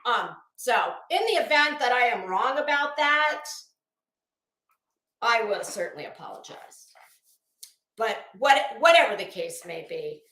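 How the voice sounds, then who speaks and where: Slow, high-pitched, blunt woman livestreamer. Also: lively intonation, pushed and bright, echoey room, rough recording. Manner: blunt, sarcastic, scornful, swearing freely. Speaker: livestream host. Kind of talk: casual livestream commentary to viewers.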